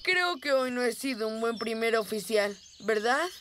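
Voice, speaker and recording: A woman playing a gentle, good-natured young boy bear in a cartoon dub, with a mild, hesitant, childlike manner. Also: on the high side, lively intonation, moderate pace, a little hiss or hum. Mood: drowsy, apologetic. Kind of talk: dubbed children's cartoon acting.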